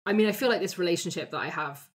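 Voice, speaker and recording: A woman with a fairly low-pitched voice talks casually as a tabletop player. She thinks aloud as she goes, sometimes voicing how someone might react. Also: rapid-fire. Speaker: player on an actual-play show. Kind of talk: casual tabletop game discussion.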